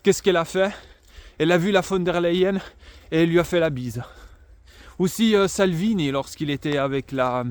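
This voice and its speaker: A man's voice: a little high, normal speed, long pauses, clear, resonant tone. A man giving an unscripted political monologue to camera, emphatic and sarcastic, with many fillers and restarts. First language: bilingual French and Italian. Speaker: political commentator.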